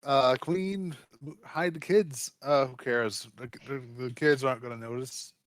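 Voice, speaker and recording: A man chatting casually as a first-time guest, joking and halting, with laughs and restarts, on a rough home connection. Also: medium pitch, fairly even intonation, no echo. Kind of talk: casual chat. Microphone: home mic over a rough internet connection.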